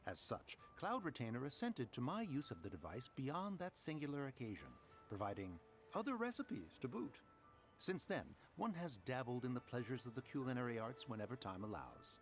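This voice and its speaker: Guy playing a proud old-fashioned immortal in a game, formal wording, very lively intonation, soft music under it. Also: mid-range voice, normal speed, natural voice. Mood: dignified. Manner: stately, archaic, speaks of self as "one". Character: proud, formal immortal adeptus in human form. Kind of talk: video game voice acting.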